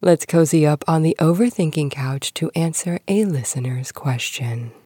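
Low-pitched, mellow-voiced woman hosting a podcast. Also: normal speed, breathy edge, dry recording, clean studio sound. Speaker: podcast host. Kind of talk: scripted podcast narration of news.

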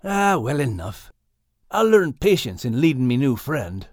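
A man voicing a small, sensible male dog in an audiobook, plain-spoken and a little gruff, with a Scottish accent. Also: medium pitch, big pitch swings, unhurried, darkish tone, dry recording, clean studio sound. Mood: patient. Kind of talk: audiobook character acting.

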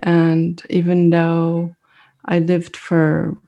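Slow, gravelly, husky-voiced woman. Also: lowish voice, monotone, dull audio. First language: French. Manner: thoughtful, searching for words, soft pauses. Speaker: mindfulness meditation teacher, guest. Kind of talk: reflective conversational interview.

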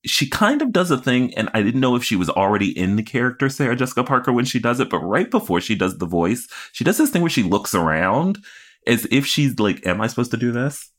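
A man co-hosting a podcast in casual comedic recap chat, playful, crude and quick to swear. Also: mid-range voice, very animated. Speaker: podcast co-host.

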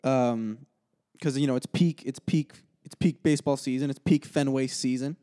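A man's voice: mid-range voice, steady tone, normal speed, mellow. A man from Massachusetts co-hosting a casual sports podcast, listing things he missed with enthusiasm and frequent laughter. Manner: enthusiastic, list-driven, laughs often. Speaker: podcast co-host.

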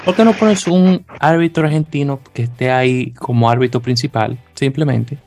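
A man's voice: mid-range voice, moderate pace, creaky, darkish tone, faint music behind. A man hosting a casual chat, talkative, with many stammered restarts and easy laughter. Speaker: podcast host.

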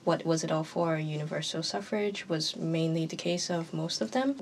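Gravelly-voiced young woman, earnest. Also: deep voice, normal speed, a little hiss or hum. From Hong Kong. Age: early 20s. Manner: earnest and emphatic, with occasional laughs. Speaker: university student guest. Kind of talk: roundtable discussion.

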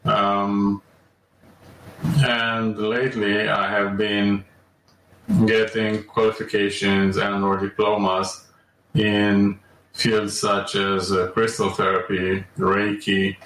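A man talks slowly and evenly as a podcast guest. His low, flat voice keeps hesitating with ums and uhs. He sits well back from the mic in a roomy, echoey space. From Romania. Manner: measured and slow, many ums and uhs. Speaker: guest, alternative medicine practitioner. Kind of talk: podcast interview. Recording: echoey room, distant mic.

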